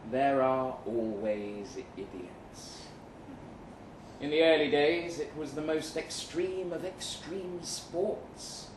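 A man performing a live short-story reading as a weary, jaded agent, speaking deliberately with dramatic pauses. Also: fairly high pitch. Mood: grave, reflective. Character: weary, jaded male agent narrating his own story. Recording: roomy live venue, some noise.

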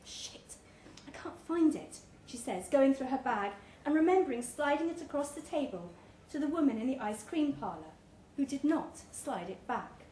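A woman's voice: high-pitched, lively intonation, normal speed, dark tone. A woman reading a short story aloud to a live event, expressively, giving life to the dialogue. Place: indoors, pub event room. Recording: roomy live pub recording, background noise.

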